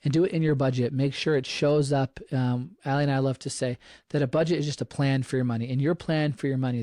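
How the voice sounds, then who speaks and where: A man's voice: medium pitch, warm tone, dry recording, studio quality. A middle-aged man hosting a podcast, giving earnest advice in a casual way and often restarting his sentences. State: slightly froggy voice. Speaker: podcast host.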